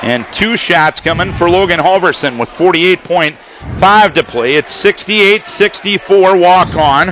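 A man calling live basketball play-by-play for radio, firing off rapid calls that rise to shouts on big plays, in a gym full of people. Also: high-pitched. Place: high school gym with a crowd. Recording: loud crowd and gym noise. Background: crowd and gym noise.

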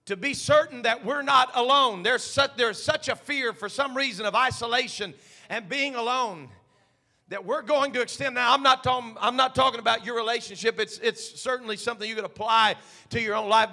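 A male pastor preaching a sermon, swinging from hushed asides to shouted climaxes. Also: very high-pitched, moderate pace, gravelly.

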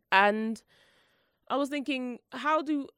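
A woman's voice: high voice, a bit bright, clean tone, no room sound, studio quality. A young woman hosting her own solo podcast, chatting in a rambling, playful and self-mocking way, her voice congested from the flu. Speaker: podcast host.

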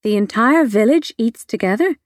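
A woman's voice: on the high side, animated, moderate pace, dry recording, lightly acted. A woman playing a guarded, sardonic adult in an audiobook, terse and impatient with children. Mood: sardonic, awkward. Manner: dry, terse, sarcastic. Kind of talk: audiobook character dialogue.

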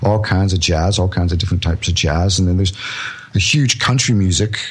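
A man's voice: deep voice, fairly quick, husky. A man guesting on an interview show, chatting easily and laughing at his own jokes. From born in Scotland, raised in Canada. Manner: easygoing, laughs at own jokes, many restarts. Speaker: guest musician. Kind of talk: casual interview chat.

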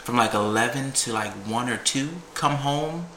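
A man's voice: medium pitch, moderate pace, roomy sound, slight background noise. A man in his twenties from South Carolina, a podcast guest chatting casually and playfully about his work and family. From South Carolina, USA. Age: 20s. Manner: animated, laughing, playful boasts. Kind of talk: casual chat.

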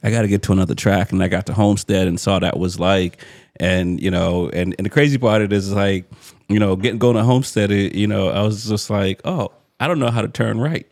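A man's voice: lowish voice, fairly quick, a little mellow, dry recording, clean studio sound. A man hosting a casual chat show, talking in a rambling, repetitive way and laughing easily. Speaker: show host.

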